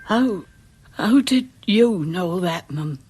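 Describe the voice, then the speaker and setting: A woman's voice: fairly low pitch, animated, takes it slowly, darkish tone, husky, soft background music, full character voice. A woman playing an uneasy, deferential village charwoman in a radio drama, speaking urgently. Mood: alarmed.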